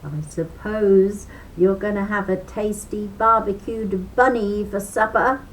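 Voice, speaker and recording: An older woman voicing a cheeky, quick-tempered male trickster rabbit in a high, shrill voice. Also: steady tone, slight background noise, close to an everyday voice, rough recording. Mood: sly.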